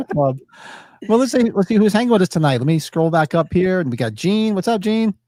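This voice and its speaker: Sniffly guy cohosting a podcast, mellow dark tone, rambly and laid back. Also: sits high, sing-song, moderate pace, dry recording. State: sniffly from allergies. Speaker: podcast co-host. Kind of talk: casual podcast chat.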